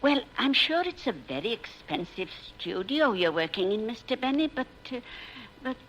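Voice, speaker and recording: A woman's voice: on the high side, sing-song, moderate pace, a bit bright, muffled recording, slight background noise. A woman playing a polite, genteel, slightly hesitant little old lady fan in 1950s radio comedy. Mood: sweet, polite. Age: older.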